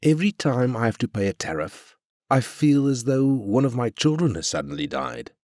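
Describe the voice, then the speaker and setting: A man's voice: medium pitch, sing-song, moderate pace, vocal fry, darkish tone, no echo, clean studio sound. A man playing a ruthless, arrogant corporate tycoon, brash and cynical, in scripted video game lines. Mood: aggrieved, scornful. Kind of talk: scripted game character quotes.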